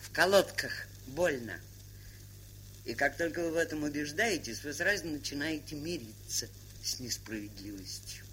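A woman's voice: low voice, animated, normal speed, fairly bright tone, rough recording. An actress playing a shrewd, sardonic older woman camp trader, lecturing with worldly irony in a theatrical stage style. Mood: firm. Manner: worldly-wise, ironic, lecturing. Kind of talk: theatrical stage drama acting. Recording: hissy 1970s studio drama recording.

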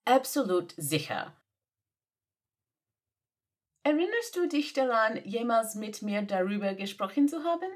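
A flustered young female assistant, read by a woman meets each demand with baffled, exasperated questions. She speaks slowly and deliberately, and her pitch swings widely up and down. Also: very animated. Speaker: podfic reader. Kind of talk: German audio drama reading.

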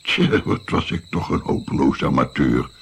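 Deep, gravelly old storyteller musician. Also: male voice, normal speed, a little hiss or hum. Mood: reflective. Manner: musing, hesitant, expressive. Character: older musician looking back, reflective, self-mocking narrator. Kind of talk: Dutch radio drama, storytelling in dialogue.

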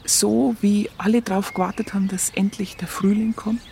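An actress playing a plainspoken, wry Munich woman with a Bavarian accent, telling her memories haltingly and conversationally in a radio play. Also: medium pitch, steady tone, gravelly, mellow, slight background noise, natural voice. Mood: wry, chatty. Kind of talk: colloquial first-person narration in radio play.

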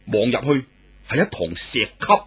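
Male storyteller, raspy voice, lively swooping delivery, unhurried. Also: medium pitch. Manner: animated, exclamatory, dramatic pauses. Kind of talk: traditional oral storytelling of a martial arts novel.

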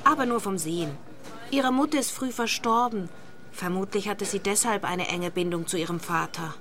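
A woman's voice: mid-range voice, normal speed, fairly bright tone, natural voice. An actress playing a young woman looking for an old friend in a radio play, puzzled, chatty and inquisitive. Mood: curious, puzzled. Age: young adult. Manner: conversational, inquisitive.

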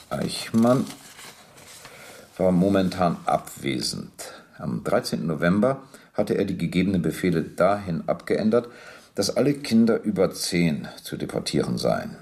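An actor playing a sober, persistent police captain in a docudrama radio play, measured, precise and matter-of-fact as he questions and reads from documents. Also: low voice, creaky, darkish tone.

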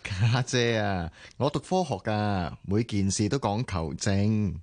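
A man's voice: fairly low pitch, takes it slowly, a little mellow. An actor in a Cantonese radio drama playing an easygoing, confident young man with a Hong Kong accent and a friendly, self-assured way of talking. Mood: defensive. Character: easygoing, confident young male computer animation designer.

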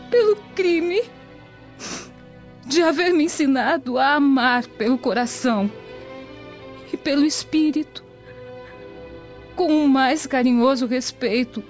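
Young lovelorn woman, sorrowful, formal, radio soap, dull lo-fi sound. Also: sits high. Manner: earnest, formal storytelling. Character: devout, lovelorn young Roman granddaughter, tender, sorrowful. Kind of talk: dramatic radio soap acting. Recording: dull lo-fi, music behind.

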